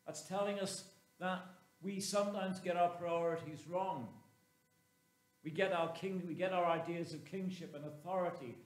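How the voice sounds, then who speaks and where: A man in his sixties preaching a sermon as a clergyman, with measured, emphatic phrasing and pauses, recorded inside a church. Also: high voice. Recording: roomy, echoing church sound.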